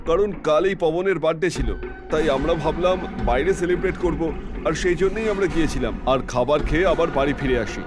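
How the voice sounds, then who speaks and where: Horror drama student, played by a man: young, defensive, shifty and reckless, fairly high voice, music behind. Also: a little fast, close to an everyday voice. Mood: evasive. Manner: defensive, evasive. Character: evasive, reckless young male college student covering up crime. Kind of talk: dramatised horror story acting.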